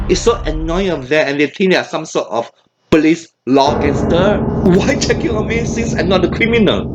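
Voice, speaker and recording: A man hosting an opinionated commentary video, ranting in an indignant, sarcastic way. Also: fairly high pitch. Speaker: commentary host.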